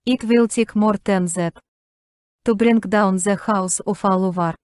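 A woman playing a defiant swashbuckling heroine in an animated fantasy film, speaking with slow emphasis. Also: mid-range voice, slight background noise, lightly acted.

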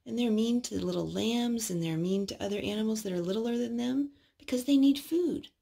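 Husky-voiced woman reading to small children. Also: fairly high pitch, brisk, dark tone. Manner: simple, instructive child-directed speech with questions. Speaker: parent reading to and singing with young children. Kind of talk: storytime reading and sing-song talk to small children. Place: living room at home, indoors.

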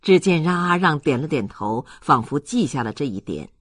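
A man's voice: on the high side, big pitch swings, normal speed, fairly bright tone, no echo, clean studio sound. A man narrating a literary audiobook in a dramatic storytelling style.

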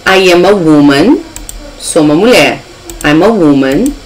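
A woman's voice: on the low side, lively intonation, measured pace, rough recording. A young adult woman with a Brazilian accent giving an informal, instructive English lesson, carefully enunciating examples. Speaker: English teacher.

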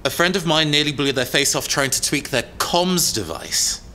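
An actor playing a thoughtful, slightly uneasy young tech expert in an audio drama, explaining things in a hesitant, natural way. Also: male voice, a little high, lively intonation, moderate pace, background noise, natural voice. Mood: explanatory.

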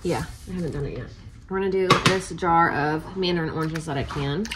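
A woman's voice: low-pitched, fairly quick. A woman in her thirties narrating casually while cooking at home, thinking aloud as she works. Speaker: homemaking vlogger. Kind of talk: casual kitchen vlog narration. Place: home kitchen, indoors. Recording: noisy kitchen with clicking sounds.

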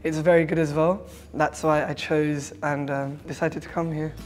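Young man from the UK, flat voice, hesitant, plain speech, long reverb. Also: fairly high pitch, normal speed, soft background music. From United Kingdom. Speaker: medical student. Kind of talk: interview answers.

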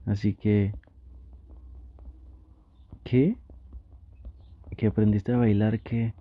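A man with a Mexican accent playing a flustered, easily tempted gamer boyfriend in an intimate ASMR roleplay, talking in a halting, coaxing way. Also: fairly low pitch, flat delivery, dry recording, highs cut off. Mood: flustered, pleading.

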